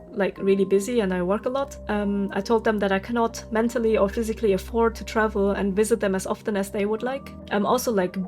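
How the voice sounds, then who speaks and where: A woman, talking straight to her viewers in a casual vlog. She vents openly, swears freely and breaks into laughs, at a middle pitch, with soft music playing underneath. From Germany. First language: German. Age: 30s. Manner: candid, venting, swears freely, laughs often. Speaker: vlogger.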